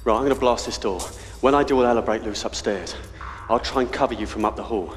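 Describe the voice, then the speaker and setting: A man's voice: mid-range voice, fast talker, natural voice. A man playing a hard-bitten suspended detective in a video game, terse and commanding. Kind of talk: video game cutscene acting. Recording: echoey reverb with music over it.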